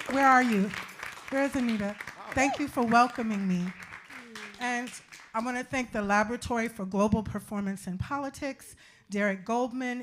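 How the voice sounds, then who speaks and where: A woman giving a thank-you speech to a live audience, calling out each name emphatically. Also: a little high. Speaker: playwright and community engagement fellow thanking collaborators. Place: live event space before an audience. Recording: noisy live room with loud crowd.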